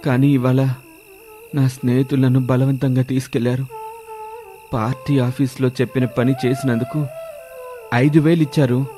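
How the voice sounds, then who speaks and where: A man's voice: medium pitch, flat delivery, normal speed, pauses often, music in the background, close to an everyday voice. A man voicing a drunken, defensive husband in a moral story, with blunt colloquial speech. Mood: pleading. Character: gruff drunken husband, defensive, later remorseful. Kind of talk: Telugu moral story dialogue.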